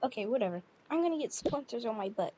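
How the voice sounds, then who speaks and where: A woman's voice: on the high side, expressive, fast, background noise, lightly acted. A woman voicing an unimpressed thirty-year-old dad in an amateur family role-play, casual and scoffing. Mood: grudging.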